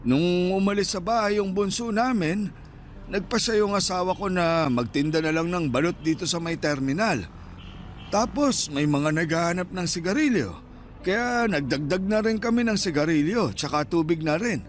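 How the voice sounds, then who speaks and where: An actor playing a small, shy old Filipino husband who sells at night, speaking plainly in a radio drama. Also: male voice, high voice, moderate pace, a little hiss or hum, lightly acted. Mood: reflective.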